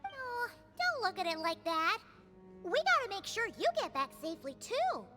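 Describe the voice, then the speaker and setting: A woman voicing a small, excitable and earnest childlike companion creature in a video game, with a squeaky voice. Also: moderate pace, over music. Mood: encouraging.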